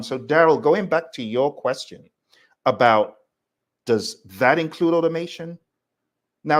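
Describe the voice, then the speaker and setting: A man teaching a live online class, talking in an emphatic, conversational way and addressing listeners directly. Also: mid-range voice. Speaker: instructor hosting a live class. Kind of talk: live online lecture with audience questions.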